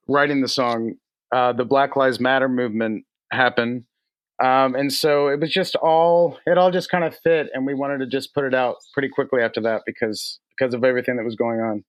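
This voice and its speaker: A man from Texas answering interview questions casually as a songwriter guest, with halting phrasing and repeated words. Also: medium pitch, fairly bright tone, clean tone, dry recording. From United States, Texas.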